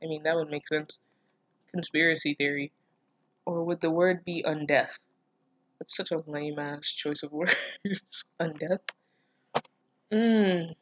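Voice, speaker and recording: A woman's voice: low voice, steady tone, takes it slowly, long pauses, no room sound, lo-fi sound. A woman reading fan fiction aloud and reacting casually, with sarcastic, incredulous, foul-mouthed interjections.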